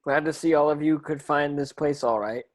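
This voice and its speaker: A man's voice: on the high side, moderate pace, natural voice, poor audio. A man reading the part of an earnest teenage boy wizard instructing his schoolmates in an amateur script read-through. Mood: earnest, instructive. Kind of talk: amateur table read of a screenplay.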